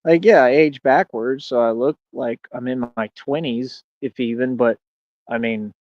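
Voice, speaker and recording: A dry, close, slightly dull lo-fi recording of a man in his thirties with a mid-pitched voice. He streams a loose, casual monologue, boastful and defiant, leaning on slang as he goes. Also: normal speed, no room sound. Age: 30s. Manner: slangy, peppered with "man" and "bro". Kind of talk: casual rambling livestream monologue.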